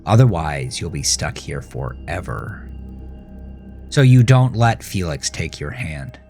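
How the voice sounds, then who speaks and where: Deep, slow male horror narrator. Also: lively intonation, darkish tone, soft background music. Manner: grave, deliberate, suspenseful. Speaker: author and narrator of horror podcast. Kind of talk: dramatic second-person horror story narration.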